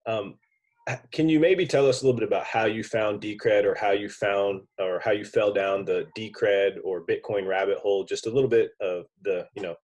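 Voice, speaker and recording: A young man hosting a podcast, speaking in a relaxed, polite way with fillers. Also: on the low side, normal speed. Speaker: podcast host. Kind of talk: conversational interview.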